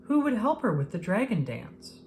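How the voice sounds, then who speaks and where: A woman narrating a children's picture book aloud in an expressive manner, paced for young listeners. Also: medium pitch, moderate pace, a little hiss or hum. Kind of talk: scripted storybook narration.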